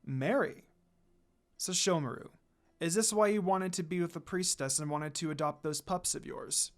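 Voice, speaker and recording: A stately demon noblewoman from a storybook tale, read by a man with a slightly high voice. She is a formal, questioning mother with a softer side. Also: normal speed, natural voice. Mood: probing, formal. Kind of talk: storybook character reading.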